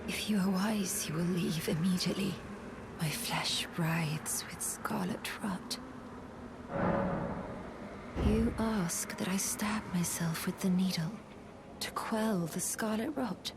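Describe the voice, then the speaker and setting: Fantasy game role: ailing young warrior woman, grave and formal, breathy delivery at an unhurried pace, on the lower side. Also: steady tone, very noisy. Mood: pained, wary. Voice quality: soft, breathy, half-whispered. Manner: grave, formal, archaic phrasing. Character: frail, solemn young swordswoman afflicted by scarlet rot. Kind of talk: scripted fantasy game acting.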